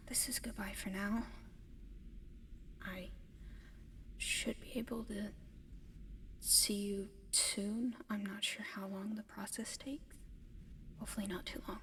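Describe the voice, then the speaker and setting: Woman as a whispering, gentle alien in long reverb. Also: mid-range voice, steady tone. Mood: reluctant, reassuring. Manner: soft, hesitant, breathy whispering with halting pauses. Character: gentle, eager giant female alien adopting a human pet. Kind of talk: whispered ASMR roleplay to the listener. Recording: long reverb, audible background noise.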